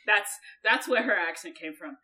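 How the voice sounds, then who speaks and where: A woman's voice: mid-range voice, moderate pace, a loud crowd. A woman from Germany with a mixed German, American and English accent, explaining things in casual chat as a podcast co-host and swearing now and then.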